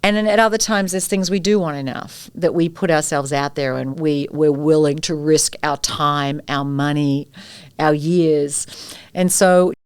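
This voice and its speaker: Low-voiced Australian woman, dry close mic. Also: measured pace, smooth, dry recording. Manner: animated storyteller, rhetorical questions. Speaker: guest expert on courage. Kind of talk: conversational interview.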